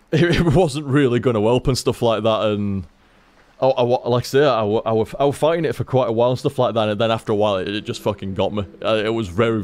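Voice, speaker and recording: A man's voice: mid-range voice, a little mellow. A man streaming, chatting casually as he reads out viewer tips, rambling, repeating himself and swearing freely.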